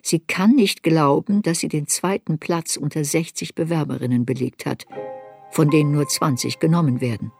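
A woman's voice: low voice, moderate pace, vocal fry, darkish tone, soft background music. An actress playing an adult woman memoirist who searches her girlhood unsparingly, in literary radio play narration. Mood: reflective.

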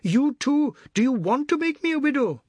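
Man voicing a high-pitched frantic wife. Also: sing-song, moderate pace, darkish tone, no echo. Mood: shocked reproach. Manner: urgent, pleading, repetitive. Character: frantic, melodramatic wife pleading in wailing alarm.

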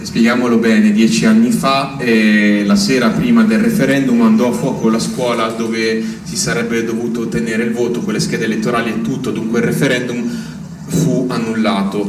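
A man playing a polite but insistent news interviewer in a stage drama, probing with hesitant little pauses. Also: medium pitch, fairly even intonation, lightly acted. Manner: probing, hesitant eh before points. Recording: roomy, distant, clearly noisy stage recording.

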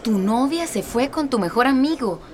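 A woman's voice: high-pitched, expressive, normal speed. An actress playing a friendly, curious, confiding woman in a radio drama, conversational and coaxing.

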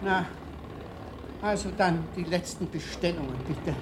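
A bewildered ordinary man speaks in a high, hesitant voice. He asks baffled questions in a radio drama, with loud noise all around. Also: measured pace. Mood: puzzled, resigned. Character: bewildered, resigned ordinary person facing doomsday. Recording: loud ambient and vehicle noise.

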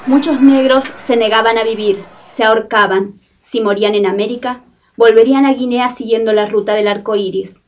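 A woman's voice: a little high, steady tone, normal speed, distant mic, highs cut off. A woman reading scripted narration for a radio play. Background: music.

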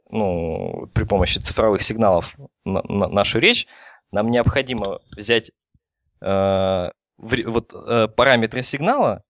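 A man giving an informal technical explanation as an expert, in a halting way with many false starts. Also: lowish voice, no echo. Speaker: telecom engineer explaining as guest expert.

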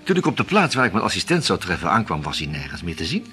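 An actor playing a smooth male art dealer in a radio play, charming, playful and evasive. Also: deep voice, wildly swooping pitch, fast, pushed and bright, faint music behind, full character voice.

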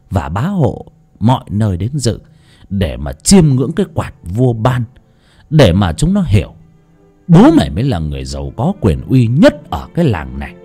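A man's voice: medium pitch, dark tone, husky. Man voicing a pompous greedy old village elder.

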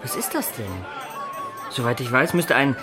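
An actor playing a sceptical, prying companion in a radio drama. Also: male voice, medium pitch, lively intonation, normal speed, music in the background, a crowd in the background, lightly acted. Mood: teasing, curious.

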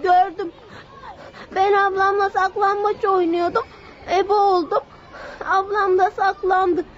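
A woman's voice: very high voice, little pitch movement, takes it slowly. A woman playing an innocent, pleading little boy in a radio drama, with childlike, whining simple phrasing. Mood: earnest, subdued. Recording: dull, faintly hissy old radio recording.

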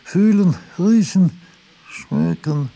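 An actor playing an aged philosopher who muses aloud in a radio drama, halting and drifting from thought to thought. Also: male voice, high voice, lively intonation, dark tone, a little hiss or hum, full character voice. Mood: musing, rambling. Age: older. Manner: halting, digressive, musing aloud. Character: aged philosopher Kant, rambling through notes and household trifles.

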